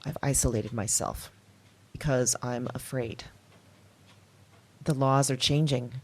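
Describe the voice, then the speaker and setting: Audio drama lead: woman playing an older female outcast, afraid yet defiant. Deep register, confessional delivery in broken, emphatic phrases. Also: steady tone, slow, husky, heavily acted. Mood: fearful.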